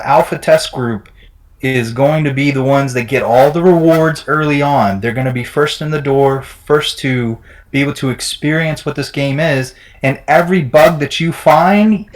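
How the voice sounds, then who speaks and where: A man hosting a crypto game livestream, talking in an energetic, promotional way. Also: a little high. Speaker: livestream host.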